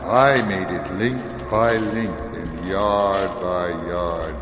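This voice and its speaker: Dead businessman's ghost, very slow, wailing, reproachful, strong echo, radio drama. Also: sits low, noisy background. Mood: grave, reproachful. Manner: slow, drawn-out, wailing intonation. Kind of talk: radio play acting. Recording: long echoing reverb with music behind.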